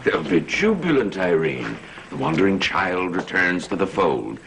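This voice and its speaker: An actor playing a sinister, scheming cult man in a gothic soap opera, with measured theatrical speech. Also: low voice, big pitch swings, gravelly, a bit bright, slight background noise, heavily acted. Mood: dismissive, sardonic. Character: scheming male cult member plotting with a coven.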